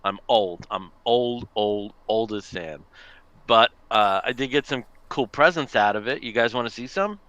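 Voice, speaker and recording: A man's voice: medium pitch, unhurried, a bit bright, muffled recording, a little hiss or hum. Rambling middle-aged man on a video call. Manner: chatty, rambling with many fillers. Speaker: podcast host. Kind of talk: casual chat.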